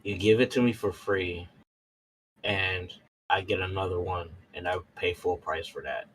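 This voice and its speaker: Man, roleplay player, deep voice, unhurried pace, insistent and deliberate, faint background noise. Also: poor audio. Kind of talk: in-character haggling at a game table.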